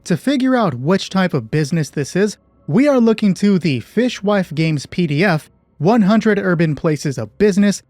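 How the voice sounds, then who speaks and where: Mellow-voiced man narrating a tabletop game. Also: high-pitched, animated, normal speed, no room sound, faint music behind. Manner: conversational, thinking aloud with self-deprecating asides. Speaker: solo roleplay game master and host. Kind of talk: narrating a tabletop roleplaying game, explaining rules.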